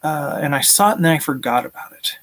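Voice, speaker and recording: A man in his thirties with a somewhat high-pitched voice hosts a casual live stream. He talks in a friendly, appreciative manner, as if chatting with viewers and taking their questions from a small room at home. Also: animated, fast, fairly bright tone. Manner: friendly, appreciative, chuckles often.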